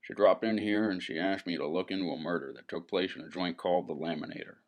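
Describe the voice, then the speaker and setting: A man's voice: low voice, fast, natural voice. An actor playing a world-weary, wisecracking male private eye in hardboiled noir narration and dialogue, sardonic and brooding.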